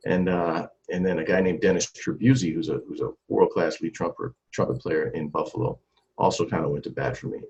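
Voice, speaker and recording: Middle-aged man, very low gravelly voice, lively storyteller who stumbles and repeats himself, muffled lo-fi sound. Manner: animated, many restarts and repeated words. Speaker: trumpeter and singer, interview guest. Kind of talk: casual interview storytelling. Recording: dull lo-fi sound.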